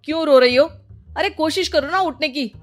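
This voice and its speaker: A woman's voice: sits high, moderate pace, soft background music, lightly acted. A woman voicing a nagging, exasperated young husband in a cartoon family story, scolding and insistent. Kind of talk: Hindi cartoon story acting.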